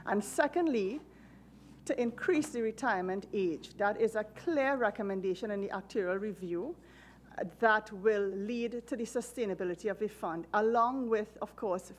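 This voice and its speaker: A woman's voice: fairly high pitch, a little hiss or hum. A woman, a national insurance official, giving formal testimony to a committee and stressing her key points. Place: parliamentary committee room.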